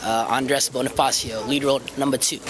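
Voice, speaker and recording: A man's voice: mid-range voice, fairly even intonation, fairly quick. A young man speaking casually in an interview as a student actor. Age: young adult. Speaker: student actor with a lead role. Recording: clear crowd chatter behind.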